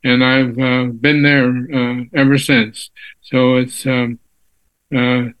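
A man in his nineties from Texas, a physicist guest in an interview, talking slowly with many fillers and restarts. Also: medium pitch, little pitch movement, a bit bright, low-quality recording. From Texas, USA. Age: 90s. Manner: unhurried, halting with frequent fillers and restarts. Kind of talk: casual interview.

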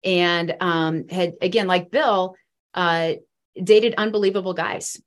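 This is a woman in her forties from the Midwest who talks at length about her life. She comes across as earnest and rambling, with plenty of fillers along the way. Her speaking voice sits a little low. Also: steady tone, takes it slowly. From East Central Illinois. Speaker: guest. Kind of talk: faith testimony in a podcast interview.

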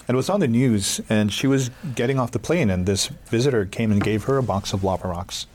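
A man speaks in a low voice on a casual podcast. He explains things calmly and plainly. His talk is loose and dotted with fillers. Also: a little fast, slight background noise. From Hawaii. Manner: calm, explaining, many fillers. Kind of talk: casual chat.

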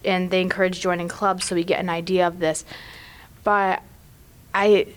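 A woman's voice: on the low side, little pitch movement, normal speed. A teenage girl speaking as a panelist in a reflective book discussion. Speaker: teen book club panelist.

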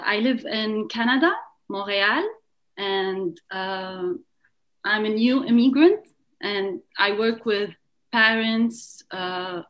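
Slow, hesitant woman speaking a second language. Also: medium pitch, fairly bright tone. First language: not English. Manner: hesitant, halting, many pauses and fillers. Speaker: webinar attendee asking a question.

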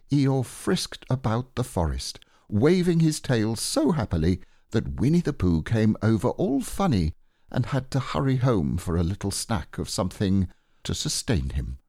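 A man's voice: fairly low pitch, huge pitch swings, normal speed, dark tone, dry recording, studio quality. A man narrating a children's story expressively as an audiobook reader.

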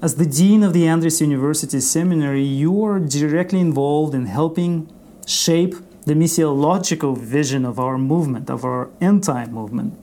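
A man hosting an interview podcast in a formal, scripted style, measured and courteous. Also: fairly high pitch, mellow.

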